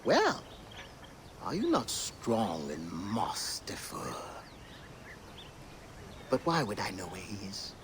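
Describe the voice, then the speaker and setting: A man's voice: on the low side, wildly swooping pitch, takes it slowly, pauses often, background noise, heavily acted. An actor playing a flamboyant, flirtatious man who teases with a sing-song, whispery manner in a game cutscene. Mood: teasing. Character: flamboyant flirtatious male employer, teasing.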